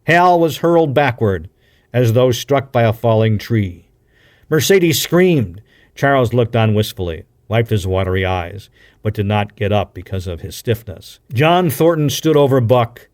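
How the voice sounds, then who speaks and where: A man reading an adventure novel aloud with a deliberate, dramatic storytelling delivery, like a practiced broadcaster. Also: medium pitch, lively intonation, a little mellow. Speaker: audiobook reader, radio broadcaster.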